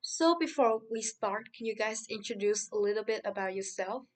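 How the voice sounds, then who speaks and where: A man's voice: sits high, moderate pace, natural voice. A man doing an upbeat, friendly podcast host. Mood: cheerful. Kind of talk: scripted interview for English learners.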